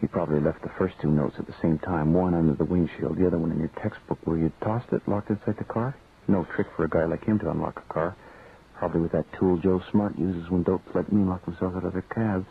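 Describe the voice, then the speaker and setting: A man's voice: deep voice, fairly even intonation, fast talker, gravelly. A man playing a brooding, protective cab driver in a naturalistic radio drama, plain-spoken and controlled, with a Canadian accent. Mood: subdued. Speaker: lead actor and narrator. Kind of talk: naturalistic radio drama with first-person narration. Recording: tinny, band-limited old radio recording, faint noise.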